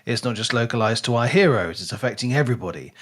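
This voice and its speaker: A man's voice: mid-range voice, lively intonation, quick pace, fairly bright tone. A man co-hosting a podcast, casually discussing a book and rephrasing as he thinks aloud. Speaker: podcast co-host.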